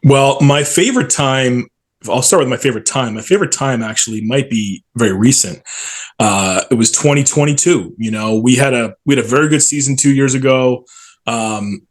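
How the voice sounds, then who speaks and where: A man's voice: medium pitch, normal speed. A man in his forties telling stories casually and enthusiastically as an interview guest, in colloquial language. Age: 40s. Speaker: stadium PA announcer, interview guest.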